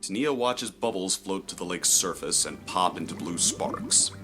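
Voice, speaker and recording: A man's voice: low-pitched, expressive, moderate pace, sharp tone, soft background music. A man reading scripted, dramatic third-person story narration for a fantasy audio drama.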